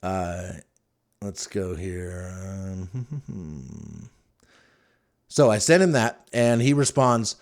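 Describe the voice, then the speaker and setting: A man with a fairly low voice hosts a casual show. He rambles through stories in an informal, wryly amused manner, speaking as if to friends. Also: drawn-out words, pauses often, a little mellow. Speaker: podcast host. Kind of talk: casual rambling storytelling to listeners.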